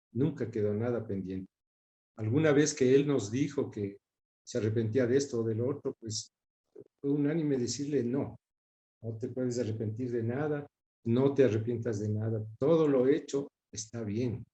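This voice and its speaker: An older man from Ecuador answering interview questions in a measured, reflective way, often repeating words for emphasis. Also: medium pitch, warm tone. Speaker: interviewee, son of a broadcaster.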